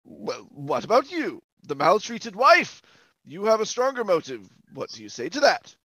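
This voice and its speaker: A man's voice: high voice, huge pitch swings, normal speed, pushed and bright, no room sound, full character voice, poor audio. A man acts a flustered, cowardly lover in a radio murder spoof. His intonation leaps about wildly, stammering and theatrical, in a dry close sound. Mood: defensive. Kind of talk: old-time radio comedy mystery acting.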